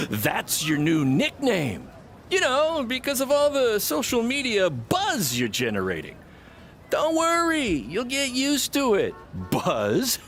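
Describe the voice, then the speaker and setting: An actor playing an excitable, boastful male indie wrestling promoter with a salesman's patter in a video game cutscene. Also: sits high, full character voice.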